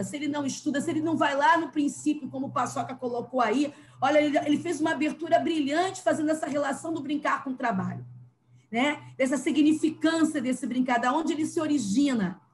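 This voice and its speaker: High-voiced Brazilian woman, some background noise. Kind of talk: earnest explanatory discussion.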